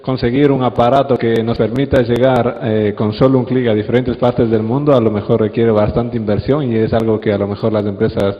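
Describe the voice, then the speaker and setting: A man's voice: mid-range voice, level tone, fairly quick, hardly any pauses. A man putting a spontaneous, roundabout question from the audience in a tentative way. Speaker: audience member asking a question. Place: large indoor congress hall. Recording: echoey hall, long reverb, dull sound.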